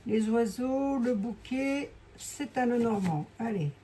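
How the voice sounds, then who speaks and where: A woman with a hoarse voice giving a casual, rambling card reading, full of fillers and asides to her viewers. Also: on the high side, slow, dark tone. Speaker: clairvoyant doing a card reading. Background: fan noise.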